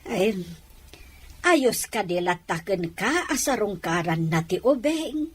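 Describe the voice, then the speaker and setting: Attentive household nanny, husky and slow with lively intonation, deferential drama part, played by a woman. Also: mid-range voice, full character voice. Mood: concerned, admiring. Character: attentive household nanny addressing her mistress. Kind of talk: Ilocano audio drama acting.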